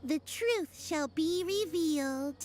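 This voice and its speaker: Small girl oracle, high voice, slow delivery, grandiose archaic speech, anime game, background music. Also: animated, a little mellow. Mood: mystical, grandiose. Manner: archaic, grandiose mystical phrasing. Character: little girl fortune teller, theatrically mystical. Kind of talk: anime-style video game voice acting.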